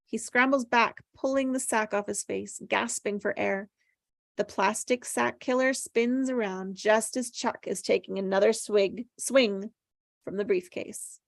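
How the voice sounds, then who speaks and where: A woman's voice: mid-range voice, fairly even intonation, measured pace, gravelly, dry recording. Woman reading screenplay directions, dry sound. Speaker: stage-direction reader at a table read. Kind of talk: scripted narration of screen directions.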